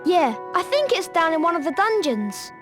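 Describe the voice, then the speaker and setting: A chummy schoolboy from a fantasy game. The voice is high and lively in pitch, plain-spoken and boyish. Faint music is behind it. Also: female voice, moderate pace. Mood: eager. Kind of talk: video game cutscene voice acting.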